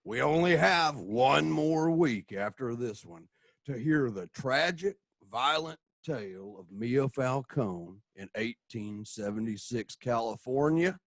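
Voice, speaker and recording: Man narrating dramatically with lively intonation. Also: medium pitch, no room sound, studio quality.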